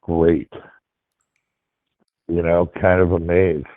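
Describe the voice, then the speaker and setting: A man calling into a show, laid-back and unhurried as he talks about a sighting. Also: deep voice, little pitch movement, no echo. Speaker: caller. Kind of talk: casual phone call. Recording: dull dry phone audio.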